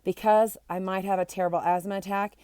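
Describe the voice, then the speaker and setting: A woman's voice: mid-range voice, steady tone, brisk, mellow, smooth, no echo, clean studio sound, close to an everyday voice. A woman voicing a mournful, earnest little girl in a storybook, explaining sadly in childlike run-on phrases.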